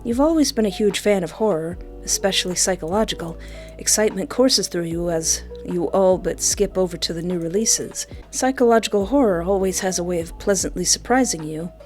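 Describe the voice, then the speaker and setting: A woman reading scripted visual novel narration aloud in an even, storybook-like way, with music behind her. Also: fairly low pitch, a little fast, close to an everyday voice. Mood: measured.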